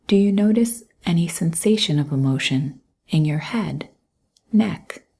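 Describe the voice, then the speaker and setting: A woman guiding a mindfulness meditation in a soft, breathy voice, gently and calmly, with long pauses between instructions. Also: fairly low pitch. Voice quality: soft, breathy, often near a whisper. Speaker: meditation guide. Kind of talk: guided mindfulness meditation, scripted narration.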